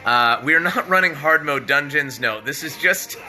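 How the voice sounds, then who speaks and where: A man's voice: fairly high pitch, expressive, normal speed, bright, forceful tone, faint music behind, low-quality recording. A man hosting a casual livestream from his living room while a guest plays, upbeat, laughing often and asking questions. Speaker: streamer host.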